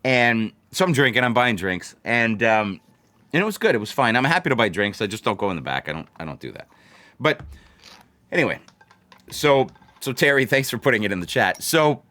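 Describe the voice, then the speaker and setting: A man's voice: mid-range voice, sing-song, fairly quick, sharp tone. A man hosts a casual livestream, chatting to viewers in a rambling way and repeating phrases for emphasis. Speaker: livestream host of a blackjack channel.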